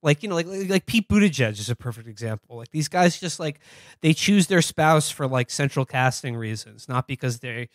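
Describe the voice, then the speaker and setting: A man's voice: on the high side, animated, brisk, no echo, studio quality. A man co-hosting a podcast, talking politics casually in a wry, discursive way. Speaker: podcast co-host. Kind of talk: casual comedic political chat.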